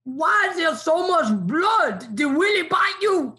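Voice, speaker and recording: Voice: very high-pitched, sing-song, slow, very noisy, exaggerated voice. An actor playing a folksy, protective old grandpa in a radio play, with a drawl. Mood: alarmed.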